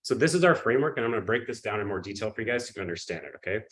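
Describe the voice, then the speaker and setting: A man with a cold, sniffling and coughing, giving an energetic live webinar pitch and often checking in with his audience. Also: rapid-fire. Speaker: webinar presenter.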